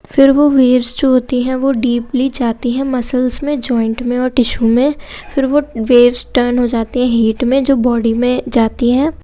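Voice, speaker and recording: A woman with an Indian accent speaks in a high voice that stays very even in pitch. Her tone is soft and mellow as she teaches, laying things out simply, one point after another, with English technical terms mixed in. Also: moderate pace. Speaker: physiotherapy lecturer. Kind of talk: explanatory lesson.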